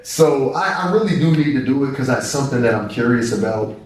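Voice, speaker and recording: A young American man, a basketball player, telling stories in a relaxed and reflective way. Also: mid-range voice, fast talker, far from the mic, slight background noise. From United States. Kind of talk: casual interview conversation. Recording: echoey room, mic set back.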